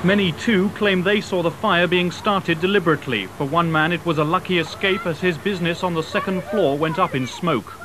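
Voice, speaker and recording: Male news reporter, slightly high voice, even delivery, noisy old broadcast audio. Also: brisk, hardly any pauses. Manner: even, matter-of-fact reporting. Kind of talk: scripted news voice-over. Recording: old TV news with background noise.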